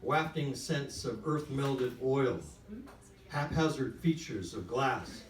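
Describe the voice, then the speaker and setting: A man, an author at a live literary event, speaking with measured, emphatic phrasing. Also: mid-range voice, slight background noise. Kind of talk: literary reading, then frank talk. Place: whisky bar literary event. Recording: echoey room, distant from mic.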